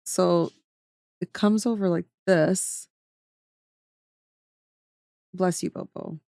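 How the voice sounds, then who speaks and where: A woman's voice: fairly low pitch, fairly even intonation, drawn-out words, vocal fry, warm tone, dry recording, studio quality. A woman streamer chatting casually with viewers while she draws, often trailing off mid-sentence as she works. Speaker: streamer drawing emotes. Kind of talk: casual chat with chat while drawing.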